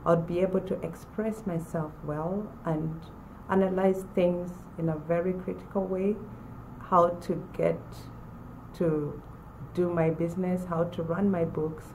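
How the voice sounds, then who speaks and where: Woman in her forties, low and mellow with a gravelly edge, giving a personal testimonial. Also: a little hiss or hum, poor audio. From Uganda. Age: 40s. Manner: measured, with pauses and restarts. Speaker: former college student.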